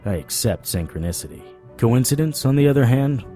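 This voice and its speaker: An actor playing a wry, stubborn occult investigator in an audio drama, talking and narrating in a conversational noir style. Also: male voice, medium pitch, animated, brisk, mellow, soft background music, natural voice. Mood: brooding, musing.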